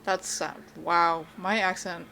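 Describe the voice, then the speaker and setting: A woman's voice: fairly low pitch, steady tone, takes it slowly, gravelly, sharp tone, slight background noise. A woman co-hosting a podcast in casual chat, hesitant, often trailing off, with self-deprecating asides. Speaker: podcast co-host.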